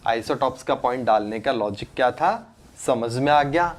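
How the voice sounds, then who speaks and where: A man's voice: medium pitch, normal speed. A man with an Indian accent teaching a live online chemistry class, informal, mixing in English terms and often checking that students follow with short questions. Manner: mixes English terms into Hindi.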